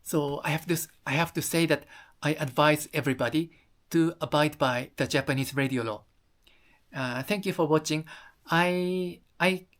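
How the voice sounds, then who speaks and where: Video presenter, medium pitch, explanatory delivery, careful and halting, non-native English phrasing. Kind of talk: explanatory talk to viewers.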